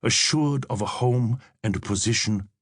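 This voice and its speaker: A man narrating a literary audiobook as a reflective elderly German-born novelist, in a measured, formal manner. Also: medium pitch, slightly rough, dry recording, clean studio sound. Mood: sober, reminiscent. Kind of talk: scripted first-person literary narration.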